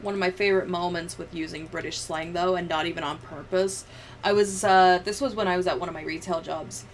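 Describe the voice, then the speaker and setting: A woman's voice: lowish voice, fairly even intonation, brisk. A woman livestreamer chatting and telling stories in a casual, sweary, giggly way. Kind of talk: casual livestream chat, storytelling.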